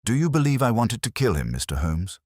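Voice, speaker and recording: A man voicing a cornered impostor in a detective audiobook, confessing defensively in terse, halting phrases. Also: on the low side, sing-song, darkish tone, no echo, studio quality, pitched above the performer's own voice. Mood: uneasy admission.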